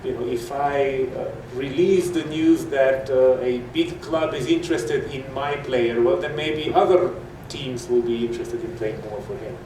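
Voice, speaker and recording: A man's voice: mid-range voice, unhurried, few pauses, dark tone. A man chairing a conference panel, replying in a conversational way with fillers. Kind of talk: moderating a panel. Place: large echoey conference hall. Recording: echoey hall audio from far off with noise.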